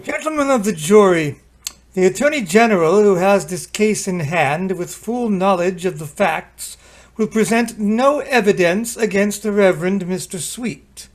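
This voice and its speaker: Man playing official judge addressing the court, high voice, declamatory radio play style. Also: unhurried. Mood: formal, authoritative. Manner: formal, declamatory. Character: formal, authoritative courtroom judge. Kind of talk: old-time radio drama acting.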